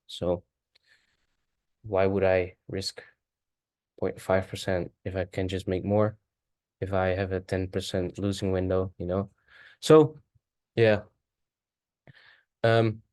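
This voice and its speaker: Dutch guy walking thru charts, deep voice, dry close sound, thinks out loud. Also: dry recording. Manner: thinking aloud, self-correcting, halting. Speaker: forex trader. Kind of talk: casual chart walkthrough.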